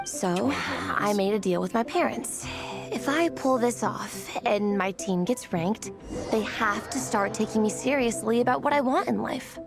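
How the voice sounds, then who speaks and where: High-voiced earnest young girl. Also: animated, unhurried, creaky, over music. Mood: determined. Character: earnest young comic-artist girl, insecure but determined. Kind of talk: video game voice acting.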